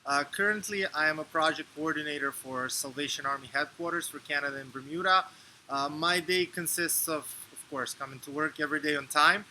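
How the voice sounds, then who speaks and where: A man from Serbia in his thirties, answering interview questions in a measured, explanatory way with fillers and restarts. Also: fairly high pitch, sharp tone, a little hiss or hum, poor audio. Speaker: interview guest.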